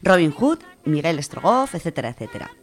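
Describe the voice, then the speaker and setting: Low-voiced Spanish woman, chatty radio presenter. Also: big pitch swings, brisk, very noisy. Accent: Spanish from Spain. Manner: chatty, informal, colloquial. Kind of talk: casual radio chat, reading out listener contributions.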